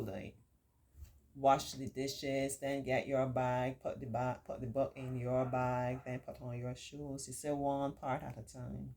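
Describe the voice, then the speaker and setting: Middle-aged female storytime tutor, deep voice, reassuring and encouraging, stretches key words while reading and explaining to children and parents. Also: gravelly, mellow, a little hiss or hum. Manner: encouraging, reassuring, repeats points for emphasis. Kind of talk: read-aloud story with warm, informal teaching asides.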